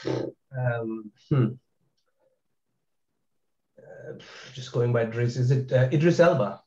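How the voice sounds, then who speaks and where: A male quiz contestant with a medium-pitched voice thinks aloud hesitantly as he offers tentative answers. The sound is dull and lo-fi, like an online call. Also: slow pace, vocal fry, a little mellow.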